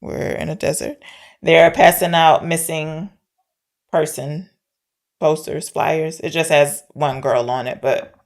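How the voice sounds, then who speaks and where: Low-voiced woman chatting informally about films. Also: fairly even intonation, slow pace. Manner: informal, questioning, unsure of details. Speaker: podcast co-host discussing films. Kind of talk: casual conversation, recapping a film.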